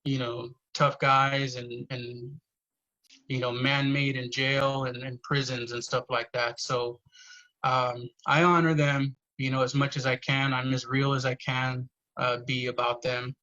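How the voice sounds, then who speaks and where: A man's voice: mid-range voice, little pitch movement, a little slow, pushed and bright, muffled recording. A man, a poet guest on a podcast, telling family stories earnestly, swearing freely and saying "you know" a lot. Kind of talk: casual podcast conversation.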